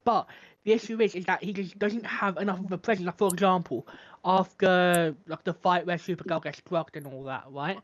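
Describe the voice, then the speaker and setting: Podcast co-host, casual film debate, pushes their point, stumbling starts, mid-range pitch. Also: brisk, highs cut off. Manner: persistent, argumentative, stumbles over openings.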